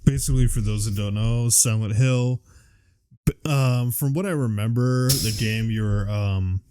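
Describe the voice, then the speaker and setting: Mellow, casual man in close dry sound. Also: fairly low pitch, a little slow, clear, resonant tone, no room sound. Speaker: podcast co-host. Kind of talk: casual chat about games and films.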